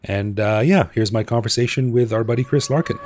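A man's voice: medium pitch, brisk, heavy background noise. A man with a North American accent hosting a podcast, casual and joking, laughing often. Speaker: podcast host. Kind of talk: casual podcast chat and interview.

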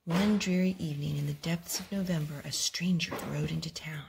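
A woman reading a picture book aloud at home, expressive and gentle, as a host talking to small children. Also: low voice, fairly quick. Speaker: storytime host, reader to young children. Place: home living room with wooden floor. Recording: home video with clear background noise.

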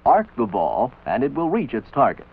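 A man's voice: mid-range voice, huge pitch swings, vocal fry, breathy edge. Tinny old training-film American male narrator. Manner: authoritative, measured, didactic. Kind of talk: scripted instructional narration. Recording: tinny band-limited old film soundtrack. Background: voice-over for an old military training film.

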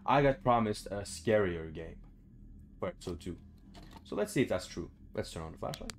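A young man with a low voice talks casually through a game, muttering to himself and swearing freely. He is recorded in a room at home with faint noise behind him. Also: lively intonation, normal speed. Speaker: gaming video host. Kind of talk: casual let's play commentary. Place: small room indoors at home.

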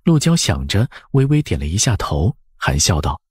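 低沉沙哑的男声说书，起伏生动. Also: male voice, low voice, sing-song, normal speed, slightly rough, no room sound, clean studio sound. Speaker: audiobook narrator. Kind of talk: expressive scripted story narration.

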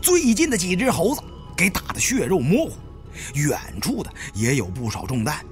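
A male storyteller delivers suspenseful adventure narration in a theatrical style. His pitch swings very widely, and soft music plays behind him. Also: wildly swooping pitch, moderate pace. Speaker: audiobook narrator. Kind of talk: dramatic first-person adventure narration.

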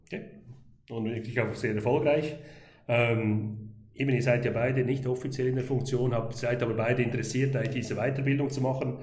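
A man hosts an interview podcast. His voice sits mid-range, and he asks brisk questions with fillers. The recording is echoey. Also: mid-range voice, steady tone, a little mellow. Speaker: podcast host.

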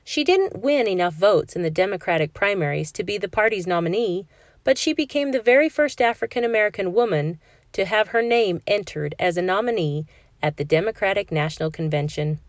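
A woman narrating a children's picture book aloud in a clear, measured storytelling manner for a classroom. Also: mid-range voice, expressive, dry recording, clean studio sound.

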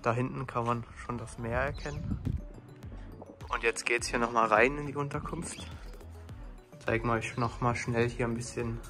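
A man's voice: mid-range voice, fairly even intonation, moderate pace, long pauses, over music. A young man giving casual vlog commentary from behind a handheld camera. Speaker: vlogger filming and commenting. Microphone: handheld camera, held by the speaker.

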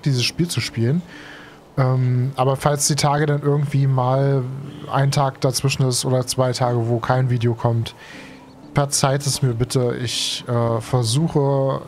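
A man's voice: medium pitch, steady tone, moderate pace, a little mellow, a little hiss or hum. A young man from Germany, a gaming streamer with a cold and tired, talking casually and slangily through a let's play with many fillers. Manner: chatty, slangy, thinks aloud with many fillers. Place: home streaming room. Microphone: headset.

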